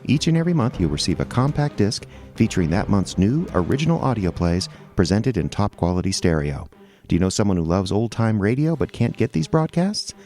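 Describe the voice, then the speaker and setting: An actor playing a chipper old-time radio announcer, delivering sponsor pitches in an emphatic, mock-earnest sales style. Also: male voice, big pitch swings, brisk, mellow, faint music behind. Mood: upbeat, selling.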